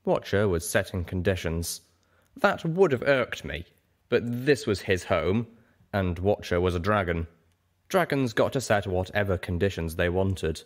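A very low-pitched man narrates a dramatic story. His delivery is theatrical and expressive, swinging widely in pitch as the scenes unfold. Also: a little slow. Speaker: audiobook narrator. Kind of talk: scripted, dramatic fan fiction narration.

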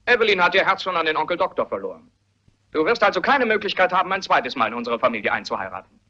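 A sardonic man who needles his relatives in a radio mystery. His voice is fairly high, sharp and rough, with mocking insinuation. The sound is a little dull. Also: sing-song, fast, exaggerated voice. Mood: smug, needling. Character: sardonic, provoking male member of a wealthy family. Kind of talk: German radio mystery play acting. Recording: muffled radio play recording.

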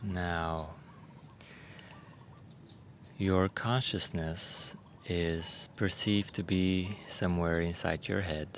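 A man leading a guided meditation in a gentle, unhurried manner, with long pauses between short phrases. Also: low-pitched, steady tone, a little hiss or hum. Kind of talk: guided meditation, soothing instruction.

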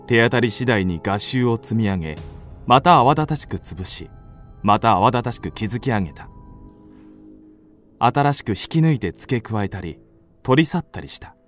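A man's voice: on the low side, very animated, moderate pace, soft background music. An actor playing a moody, melancholic young man with restless fancies, narrating a literary radio drama in the first person. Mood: rising excitement.

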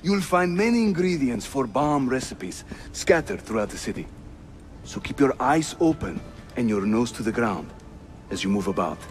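A jovial Turkish rogue of an assassin voiced by a male actor, playful and very animated in pitch, with a Turkish accent. It is video game drama with light music behind. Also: normal speed, close to an everyday voice. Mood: breezy, helpful. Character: jovial, roguish Turkish assassin, a teasing mentor. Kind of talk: cinematic video game acting.

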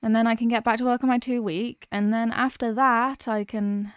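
A woman playing a sweet, sleepy workaholic girlfriend in an ASMR audio roleplay, speaking softly and hesitantly with affection. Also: on the high side, clear, resonant tone, no room sound, clean studio sound, close to an everyday voice. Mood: flustered, reluctant.

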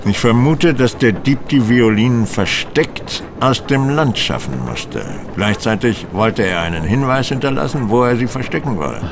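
An actor playing an astute, composed male detective in a German radio play, speaking in a deliberate, assured way. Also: sing-song. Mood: measured, pondering. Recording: clear vehicle noise behind the voice. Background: train rumble.

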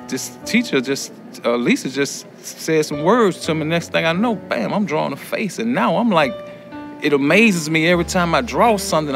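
A man's voice: fairly high pitch, creaky. A middle-aged man with an American accent talks candidly in an interview as a student. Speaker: prison education student. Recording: guitar music under the voice. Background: acoustic guitar music.

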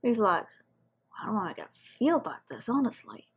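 A woman's voice: fairly high pitch, very animated, brisk, breathy edge. A woman giving casual reaction commentary as a video host, in an offhand, rambling way.

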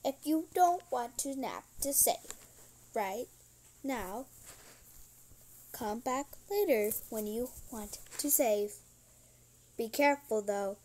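A woman playing a slow, high-voiced robot nap attendant. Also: animated, dark tone. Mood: instructive. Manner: slow, deliberate, warning. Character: stern, fussy robot nap attendant from a horror game. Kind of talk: hobby character voice lines. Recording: noisy homemade recording.

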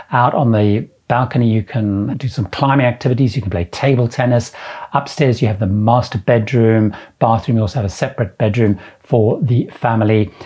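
A man's voice: medium pitch, mellow. A middle-aged man presenting to camera, enthusiastically listing features with repeated superlatives. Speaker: cruise travel vlogger. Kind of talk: presenting to camera, touring suites. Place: indoor room with framed ship posters. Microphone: lapel mic, camera framed at chest.

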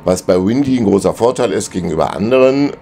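A man's voice: on the low side, animated, fast talker, gravelly, dark tone, soft background music. A middle-aged man vlogging from the cabin of his sailboat, explaining route planning in a chatty, colloquial way with many filler sounds. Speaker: sailing vlogger. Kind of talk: casual explainer over screen maps. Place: cabin of a sailboat at anchor, wind outside.

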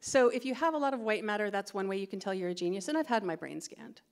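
A woman's voice: mid-range voice, animated, quick pace, noisy background. Middle-aged american woman, stand-up set on a theatre stage, chatty and giggly, pokes fun at herself. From California. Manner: chatty, giggly, self-deprecating. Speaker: stand-up comedian. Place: theatre stage before a live audience. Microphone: stand microphone.

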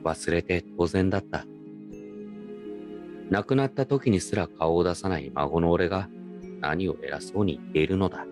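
A low-voiced man acting as a nostalgic grown grandson in a radio drama. He tells his story in a casual, reflective, wry way, with faint music behind him. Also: moderate pace, pauses often, natural voice. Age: adult. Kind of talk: first-person radio drama narration and dialogue.

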